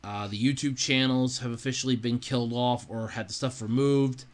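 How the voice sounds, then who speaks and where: A man's voice: medium pitch, fairly even intonation, normal speed. A man hosting a podcast, giving casual, blunt solo commentary in a conversational way and slipping into imagined dialogue. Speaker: podcast host.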